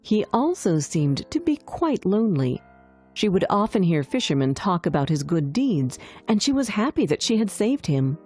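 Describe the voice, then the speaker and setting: Female storybook narrator: mellow, lowish voice, very expressive melody, music bed. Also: moderate pace. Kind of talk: scripted children's fairy-tale storytelling.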